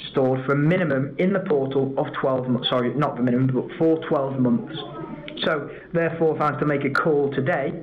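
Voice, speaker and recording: A man giving an informal webinar presentation as a product trainer, explaining step by step with examples. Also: mid-range voice. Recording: dull lo-fi audio with background noise.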